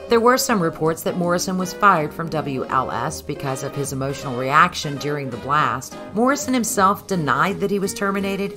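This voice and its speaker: A woman narrates a historical documentary from a script. Her voice is very low for a woman, with music playing behind it.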